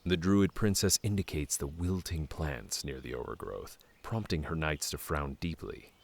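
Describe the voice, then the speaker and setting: A man's voice: a bass voice, normal speed, gravelly. A man narrating a scripted fantasy story for an audio drama.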